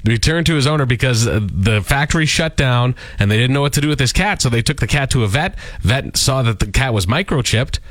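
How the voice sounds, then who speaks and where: A man's voice: mid-range voice, expressive, a little fast, few pauses, a bit bright, dry recording. A man from the Canadian prairies co-hosting a radio show, telling stories in a rambling way with lots of fillers and chuckles. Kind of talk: casual radio banter.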